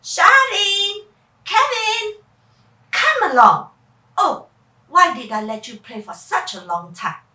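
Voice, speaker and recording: In an echoey room a woman acts a chatty storybook mother calling out to her children. The voice is high and bright, with a fussing manner. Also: expressive, takes it slowly, long pauses, full character voice. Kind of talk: acted storybook dialogue. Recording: echoey room, far from mic.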